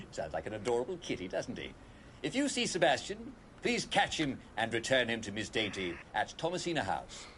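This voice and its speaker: An actor playing a chirpy British broadcast host in a retro announcer style, with forced cheer, chuckles and theatrical hesitations. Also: male voice, mid-range voice, wildly swooping pitch, normal speed, fairly bright tone, slight background noise. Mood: giddy, cheerful. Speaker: broadcast presenter.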